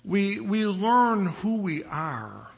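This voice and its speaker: A man from Chicago preaching as a visiting pastor in a church, with a big voice and an unhurried, conversational manner, pausing and repeating phrases for emphasis. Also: high voice, sing-song, gravelly, muffled recording. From Chicago, United States. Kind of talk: conversational sermon. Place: church sanctuary.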